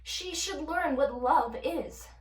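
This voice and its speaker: A woman's voice: high voice, expressive, takes it slowly. A woman performing a monologue as a bitter, coarse, worn-out camp follower who talks crudely and sardonically. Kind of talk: audition monologue. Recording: echoey room, distant mic.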